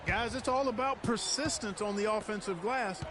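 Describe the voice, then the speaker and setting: A man's voice: high-pitched, normal speed, background noise, natural voice. A man voicing a keen, explanatory analyst in a basketball video game. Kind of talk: sports commentary in a video game.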